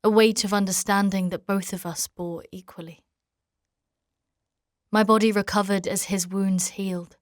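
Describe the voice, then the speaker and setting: A woman playing a stern, devout knight in a dark fantasy audio drama, speaking and narrating in a formal, measured, archaic way. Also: medium pitch, steady tone, no room sound, clean studio sound, lightly acted. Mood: solemn, reflective. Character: battle-weary female knight, stern, devout, formal, solemn narrator of her quest.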